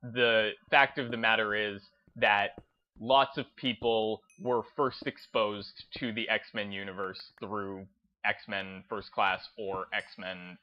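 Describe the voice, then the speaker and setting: A man giving opinionated, unscripted commentary as a video host, stressing his points emphatically and deliberately with short declarations. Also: on the low side, muffled recording.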